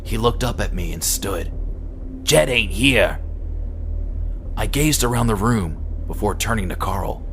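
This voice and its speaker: Low-voiced man narrating horror. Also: moderate pace, hardly any pauses, a little hiss or hum. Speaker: amateur audiobook narrator. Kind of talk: scripted first-person horror story narration.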